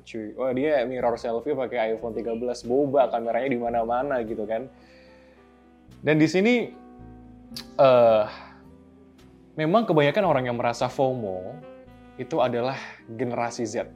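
A man's voice: mid-range voice, normal speed, a little mellow, lo-fi sound, soft background music. A man hosting a casual explainer, talking loosely and putting on short quoted asides. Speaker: host. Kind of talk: explainer narration.

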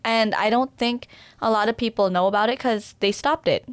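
A woman chatting casually and agreeably, quick to laugh and chime in. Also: fairly high pitch, no echo. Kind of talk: casual chat.

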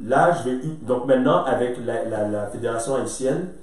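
A man, a basketball coach telling his story in a casual chat, talking in animated, rambling bursts and often checking that he is understood. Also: medium pitch, moderate pace. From Quebec, Canada. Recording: very echoey room, distant mic.

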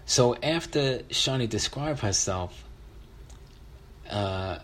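A man's voice: mid-range voice, animated, slow, a bit bright, slight background noise. A middle-aged man telling a personal story in a casual, conversational way as a storyteller.

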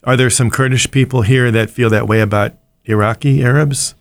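A man's voice: mid-range voice, steady tone, moderate pace, dry recording. A man hosting an interview, asking questions and prompting with short replies. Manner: brief prompts, short backchannels. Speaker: podcast host.

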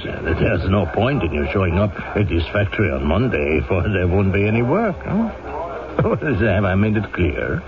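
An actor playing a lecherous, oily factory boss in old-time radio style, hesitant and insinuating, with little chuckles. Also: male voice, sits low, very animated, normal speed, a crowd in the background. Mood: pressuring, smug. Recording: muffled old radio drama, loud music behind.

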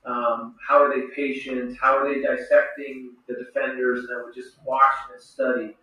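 A middle-aged man who once played hockey answers children's questions in a slow, reflective way, often restarting his sentences. His voice has a bright, forward edge. He sits some distance from the mic, and the room gives his words a heavy echo. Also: medium pitch, level tone. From Saskatchewan, Canada. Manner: reflective, speaks slowly with frequent restarts. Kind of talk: thoughtful Q&A with children. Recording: roomy, echoey sound from far off.

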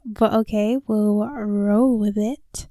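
A woman's voice: mid-range voice, steady tone, takes it slowly, dark tone, no echo, studio quality. A nineteen-year-old woman from London with a slight American tone, chatting candidly and swearing freely on her solo podcast, recorded in a small closet on a handheld mic. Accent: London with some American tone. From London, England. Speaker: podcast host. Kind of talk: casual solo podcast monologue.

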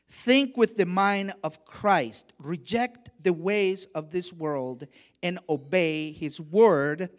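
Slow, higher-voiced man preaching. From Honduras. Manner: measured preaching, rising emphasis on key phrases; drops to a quiet, conversational tone for personal stories. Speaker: guest preacher. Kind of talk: sermon.

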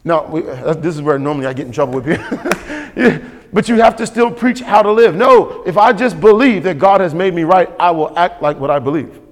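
A man's voice: on the high side, fairly quick. A man preaching a sermon as pastor, emphatic and repetitive, calling for responses from the congregation. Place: church sanctuary. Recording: long hall reverb.

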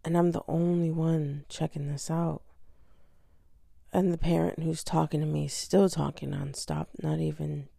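A woman hosting her own podcast, raspy from having just woken up, telling a story in a soft, often half-whispered way with many hesitations. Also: low-pitched, level tone, normal speed, dry recording, studio quality. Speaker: podcast host. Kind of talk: casual solo storytelling.

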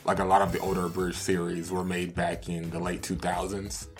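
A man's voice: low voice, completely flat delivery, normal speed. A man co-hosting a podcast in loose, casual conversation. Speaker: podcast co-host. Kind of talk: casual chat.

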